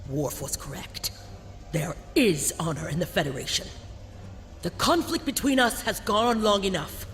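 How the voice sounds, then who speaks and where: High-pitched proud alien warrior captain. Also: male voice, big pitch swings, moderate pace, fairly bright tone. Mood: proud, earnest. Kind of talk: scripted game voice acting. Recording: long reverb, faint music behind.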